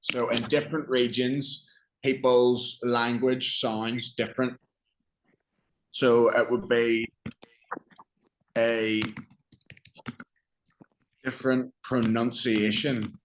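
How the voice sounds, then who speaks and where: A man with a Northern Irish accent talks a student through English vocabulary, pausing to give examples and ask questions. His pace is slow and unhurried, and his voice sits at a middling pitch. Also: flat delivery, slight background noise, poor audio. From Northern Ireland. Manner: patient, explains slowly with examples and questions. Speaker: English teacher. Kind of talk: one-on-one English lesson.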